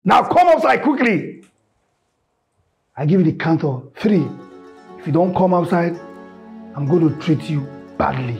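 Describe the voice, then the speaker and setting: A man's voice: on the high side, animated, a little slow, vocal fry, long pauses, soft background music. A cruel, menacing stepfather. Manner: taunting, threatening.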